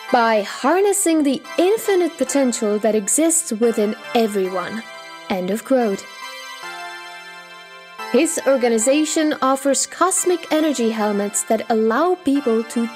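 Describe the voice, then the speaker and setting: A woman's voice: on the high side, darkish tone. A woman playing a polished TV talk show host in a scripted audio drama.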